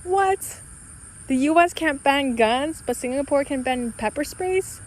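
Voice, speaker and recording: A woman's voice: high voice, animated, measured pace. Chatty incredulous woman from LA. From Los Angeles. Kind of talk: casual storytelling to camera.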